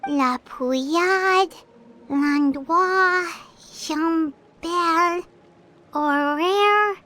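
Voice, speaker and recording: A woman voicing a small, excitable fairy companion in a video game, with a squeaky childlike voice and an expressive manner. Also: very slow, slight background noise, exaggerated voice. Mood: curious, uneasy. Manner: speaks of itself in third person, expressive. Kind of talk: animated video game acting.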